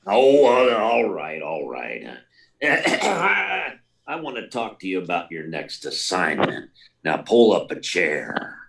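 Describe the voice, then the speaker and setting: An actor playing a blustery, fatherly older newspaper editor in a 1940s-style radio serial, gruff and sputtering with hesitations. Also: sits low, huge pitch swings, slow, a bit bright, heavily acted. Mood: hesitant, blustering. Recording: distant mic, live remote performance.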